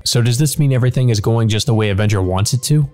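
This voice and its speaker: A man's voice: mid-range voice, quick pace, a little mellow, soft background music. A man reading a visual novel aloud as dramatic scripted narration.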